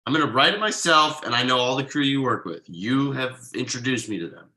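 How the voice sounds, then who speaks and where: Man acting exasperated young dad, bright and animated. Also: medium pitch, a little fast, low-quality recording. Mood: indignant, angry. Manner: stammering, incredulous, emphatic. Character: exasperated young father, wronged friend and ad copywriter. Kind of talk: conversational comedy table read.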